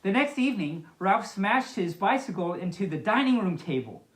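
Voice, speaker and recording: Animated woman reading a storybook, echoey room. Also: mid-range voice. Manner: playful, chatty, halting rambling commentary. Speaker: storyteller, host. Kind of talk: reading a picture book aloud with casual asides. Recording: echoey, reverberant room sound.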